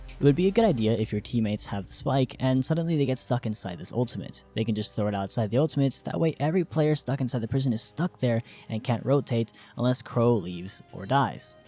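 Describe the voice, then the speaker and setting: A man gives scripted explainer narration as the host of a gaming video. His voice sits at an average male pitch and he explains step by step, dropping in informal asides. Music plays behind him. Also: darkish tone. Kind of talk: scripted explainer narration about a game character concept.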